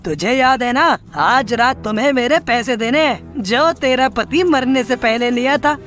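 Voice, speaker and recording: Lady doing a mean boss crow wanting his money, kids cartoon, high voice. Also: measured pace, a bit bright. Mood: demanding. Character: stern, demanding moneylender crow, male. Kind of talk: Hindi children's cartoon dubbing.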